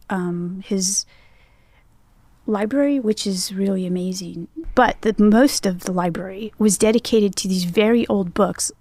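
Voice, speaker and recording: A woman telling a personal story in an interview clip, easygoing and lightly hesitant. Her voice sits at a middle pitch, and the recording is dry, with no room sound. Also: darkish tone, no echo. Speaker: interview guest. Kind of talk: recounting an anecdote in an interview.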